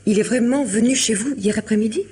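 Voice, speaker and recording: Nervous married lady in an old-school radio play, raspy low voice, careful and earnest. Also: brisk, a little mellow. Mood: uneasy. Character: anxious married woman confiding guardedly in her lawyer. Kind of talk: French radio mystery drama acting.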